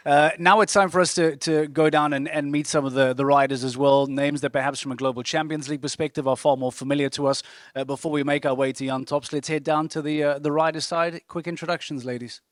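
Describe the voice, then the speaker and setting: A man hosts a press conference on a handheld mic, his voice pitched a little high for a man. He keeps things moving with friendly, joking remarks. The sound is close and dry. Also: fast, no room sound. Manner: lively, friendly moderating with light jokes. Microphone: handheld microphone.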